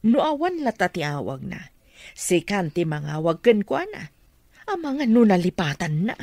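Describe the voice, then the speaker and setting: A woman's voice: on the low side, big pitch swings, moderate pace, darkish tone, no echo. An actress playing a scheming, bossy middle-aged mother in an Ilocano radio comedy drama, scornful and commanding. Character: scheming, bossy mother egging on her daughter.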